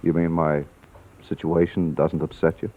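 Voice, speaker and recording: A man's voice: a bass voice, level tone, normal speed, husky. An actor playing an earnest, troubled man on the run in a vintage radio drama. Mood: subdued, sincere. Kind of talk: 1940s-50s radio drama acting. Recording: tinny, noisy old radio recording.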